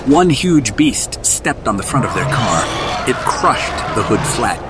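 Animated male story narrator over music. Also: medium pitch, unhurried. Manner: suspenseful, dramatic. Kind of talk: scripted dramatic storybook narration.

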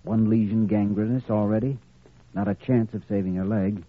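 An American actor playing a determined, compassionate hospital doctor in a 1940s radio drama. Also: male voice, on the low side, fairly even intonation, moderate pace, no room sound, natural voice. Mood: urgent, resolute. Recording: old radio recording, tinny narrow band.

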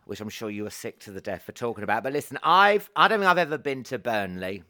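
A man hosting a podcast, telling stories in a camp, animated way with frequent laughs and swearing. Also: medium pitch, fast. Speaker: podcast host. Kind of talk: casual chat.

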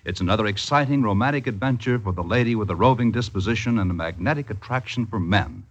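A man's voice: fairly low pitch, fast, breathy edge. A man working as a radio announcer, reading promos in a polished, formal 1950s network style. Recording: dull old radio recording.

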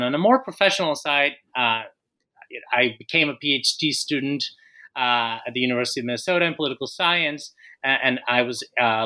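A man in his thirties from Colombia, a guest author answering interview questions with frequent pauses and restarts. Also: mid-range voice, bright, forceful tone, poor audio. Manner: hesitant, many fillers and restarts.

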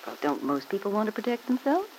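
An actress playing a sly, spiteful middle-aged mother-in-law in an old radio serial, insinuating and quick to justify herself. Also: medium pitch, sing-song, darkish tone. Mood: defensive, spiteful. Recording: noisy old radio broadcast recording.

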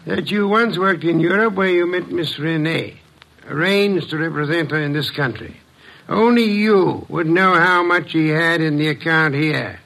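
An actor in a 1950s radio drama playing a shrewd, weary, imperious middle-aged detective who speaks deliberately and pompously. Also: male voice, fairly high pitch, vocal fry, fairly bright tone, poor audio. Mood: accusing, composed. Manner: deliberate, pompous, theatrical speech.

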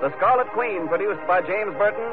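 An American man announcing in formal, polished 1940s radio style with measured phrasing. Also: high-pitched. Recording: tinny vintage radio broadcast, music behind.

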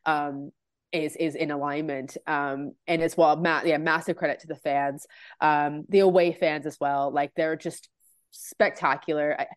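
Low-voiced Canadian woman, casual fan podcaster. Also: fairly even intonation, measured pace, no room sound. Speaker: football podcast co-host.

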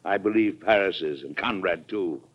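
An actor playing an earnest, fatherly American president in a vintage radio drama, measured and persuasive, with an American accent. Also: deep voice. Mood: weary, troubled. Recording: muffled vintage radio recording.

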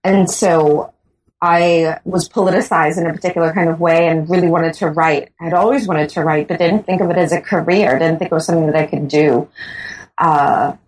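Bahamian-born woman guest, deep voice, thoughtful, halting delivery with many restarts. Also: steady tone, darkish tone, rough recording. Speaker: guest author. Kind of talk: interview answers.

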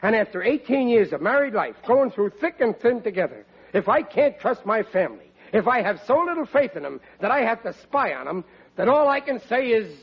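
A blustering, trusting American husband in a vintage radio sitcom. His voice is high for a man and rough, swinging widely as he stammers and protests in bad grammar. The recording is old and dull. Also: very animated, a little fast, full character voice. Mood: stubbornly trusting. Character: gullible, blustery working-class husband and father. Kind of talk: 1940s radio sitcom acting.

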